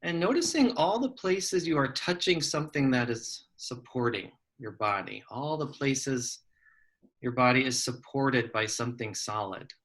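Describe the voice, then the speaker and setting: A man guides a meditation at a very unhurried pace, in a fairly average-pitched voice. He gives soft, measured instructions and leaves long pauses between his phrases. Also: animated. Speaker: psychologist leading a guided meditation.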